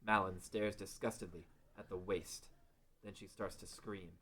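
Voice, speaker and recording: Low-pitched male story narrator. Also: level tone, normal speed, fairly bright tone. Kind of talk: scripted story narration at a table read.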